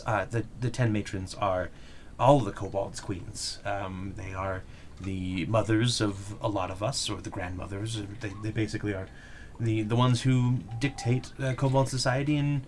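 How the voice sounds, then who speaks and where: A man's voice: medium pitch, fairly even intonation, moderate pace, slight background noise, lightly acted. A man voicing an earnest male kobold guide in a tabletop game, explaining his people in a matter-of-fact, slightly defensive way.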